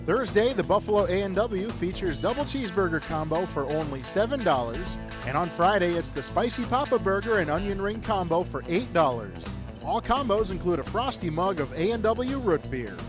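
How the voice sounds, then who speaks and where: Lively American male ad announcer over music. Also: high voice, normal speed. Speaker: radio commercial announcer. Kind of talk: scripted ad read.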